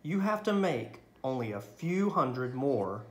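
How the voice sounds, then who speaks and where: A man voicing a gentle, tender father comforting his daughter in a picture book. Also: medium pitch, big pitch swings, slow, reverberant. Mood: soothing.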